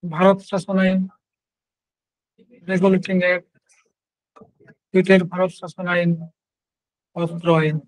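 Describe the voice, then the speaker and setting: A man teaching a question-and-answer exam lesson, repeating questions and answers in short, halting phrases. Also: high-pitched, flat delivery, no room sound, poor audio.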